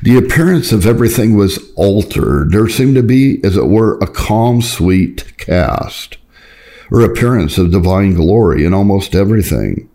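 A man narrating an old devotional text as a scripted reading, in a measured, earnest and formal manner. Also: fairly low pitch, animated, dark tone. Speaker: audiobook narrator.

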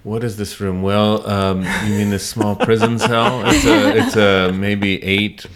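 A man's voice: on the low side, animated, measured pace. A man being interviewed as a business owner, telling his story casually with wry, self-deprecating humour.

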